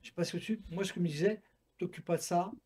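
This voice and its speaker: A man in his sixties from France talks frankly on a talk show, repeating points for emphasis. His voice sits a little high for a man, with a husky, mellow tone. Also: fairly even intonation, racing. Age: 60s. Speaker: Muay Thai boxer and coach. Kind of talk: casual talk-show conversation.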